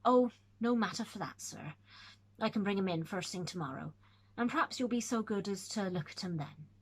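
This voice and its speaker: A woman voicing an old rustic male servant who tells a grim tale in dialect, hushed and earnest. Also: medium pitch, animated, normal speed, breathy edge, low-quality recording. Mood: deferential.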